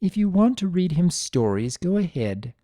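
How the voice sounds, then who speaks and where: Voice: medium pitch, very animated, normal speed, warm tone, no echo, studio quality, pitched above the performer's own voice, lightly acted. A performer voicing an impatient, scornful mare and mother with a curt, strained delivery, in a dramatic fan fiction reading. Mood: strained patience.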